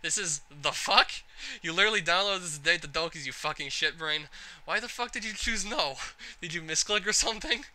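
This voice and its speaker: A man's voice: a little high, fairly quick, bright, forceful tone, pitched above the performer's own voice. A man voicing a contemptuous, foul-mouthed video game character, exasperated and insulting as he berates the player. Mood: angry contempt.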